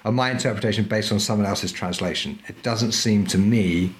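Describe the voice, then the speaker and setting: A man's voice: on the low side, a little fast, slight background noise. A middle-aged man talking casually as a podcast guest, a historical fencing instructor who speaks in a chatty, emphatic way and often checks in with "right?". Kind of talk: casual interview chat.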